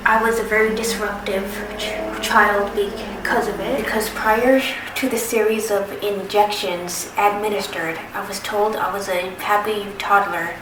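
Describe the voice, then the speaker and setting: A woman in her thirties who stutters, speaking slowly with pauses and restarts in a personal testimony. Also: mid-range voice. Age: 30s. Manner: stutters, with repeated sounds and restarts; slow, careful, with pauses. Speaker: documentary submitter. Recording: roomy, distant from the mic, noisy background.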